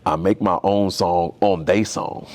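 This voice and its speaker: A man's voice: deep voice, fairly even intonation, a little slow, a little mellow. A young man, a tattoo artist, talking as a podcast guest in a loose, slangy, storytelling way. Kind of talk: casual conversation.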